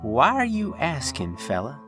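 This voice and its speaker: An actor playing a wily, guarded American frontier shopkeeper in an audio drama, folksy in manner. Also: male voice, mid-range voice, wildly swooping pitch, measured pace, pushed and bright, exaggerated voice. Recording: music clearly behind the voice.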